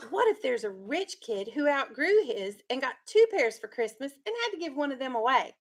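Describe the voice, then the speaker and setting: Higher-voiced woman teacher reading aloud. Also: big pitch swings, normal speed. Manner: instructive, prompts listeners with leading questions. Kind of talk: read-aloud storytelling with questions to pupils.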